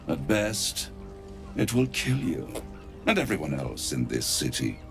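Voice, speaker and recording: Casting: silky, grandiloquent video game devil, smug tempter, slow delivery with gravelly edge. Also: male voice, rumbling low, big pitch swings, over music, exaggerated voice. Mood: smug, menacing. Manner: grandiloquent, taunting, savours words with dramatic pauses. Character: suave, theatrical male devil dealmaker, smug and menacing. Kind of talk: video game character acting.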